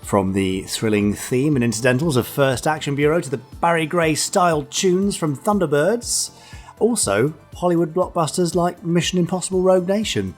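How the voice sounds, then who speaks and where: A man's voice: a little high, expressive, moderate pace, faint music behind. Jokey man hosting a podcast. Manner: jokey, teasing. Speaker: podcast host and interviewer. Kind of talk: casual chat and interview.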